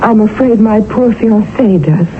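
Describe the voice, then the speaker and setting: A well-bred young fiancée, voiced by a woman, speaking earnestly and fearfully with refined diction. The sound is thin and phone-like, like an old radio broadcast, with steady hiss behind her. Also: mid-range voice, measured pace, natural voice. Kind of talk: 1970s radio drama acting.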